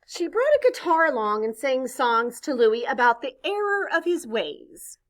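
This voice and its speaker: A woman reading a children's story aloud expressively, pausing to chat with her listeners. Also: high-pitched. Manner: expressive, pauses to point things out and ask questions. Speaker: storybook reader.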